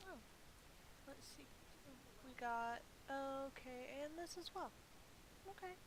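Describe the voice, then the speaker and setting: A woman's voice: high voice, slow. A woman giving casual gameplay commentary, chatty and playful as she thinks aloud. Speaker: gaming video host. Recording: hissy recording with background noise.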